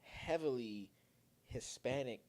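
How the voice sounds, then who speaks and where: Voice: mid-range voice, slow, a little mellow. A young podcast co-host in casual banter, joking and teasing with plenty of profanity. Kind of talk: casual chat.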